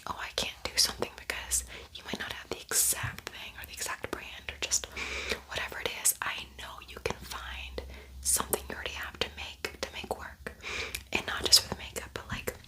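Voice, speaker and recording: A woman whispering a casual ASMR makeup tutorial in a breathy, unvoiced voice, gently encouraging her viewers. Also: moderate pace, low-quality recording. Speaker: ASMR video creator and makeup tutorial host.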